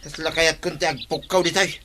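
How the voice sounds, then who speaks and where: A man's voice: fairly high pitch, fairly even intonation, brisk, background noise, lightly acted. A man with an Ilocano accent playing a reluctant, griping village laborer in a radio comedy drama.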